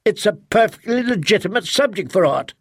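A man's voice: high-pitched, lively intonation, fairly quick, dry recording, studio quality, voice pushed up. A man voicing an angry, defensive novelist arguing with his wife in an audiobook. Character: defensive novelist husband, middle-aged man. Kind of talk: audiobook character voice.

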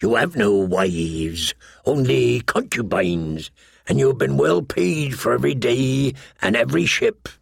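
A man voicing a grave, plain-spoken low-born smuggler in an audiobook, sober and measured. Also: expressive, gravelly, no room sound, clean studio sound, exaggerated voice. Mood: firm, sober. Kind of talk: audiobook character voice.

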